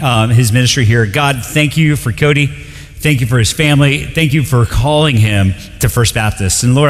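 A man from Colombia preaching to a congregation, joking and animated as he leads into the text. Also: medium pitch. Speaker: pastor. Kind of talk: sermon. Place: church sanctuary. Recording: long hall reverb.

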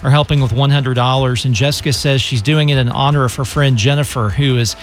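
A man hosting a radio fundraiser, making a steady, earnest appeal and reading donor names. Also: medium pitch, a little fast, soft background music. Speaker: radio host.

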